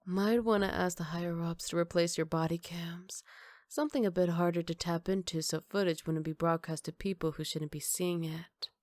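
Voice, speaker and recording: A woman playing a flirty, cocky female villain who teases in an unhurried, smooth-talking way, in an ASMR roleplay. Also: on the low side, fairly even intonation, darkish tone, no room sound, studio quality, natural voice. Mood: cool, confident.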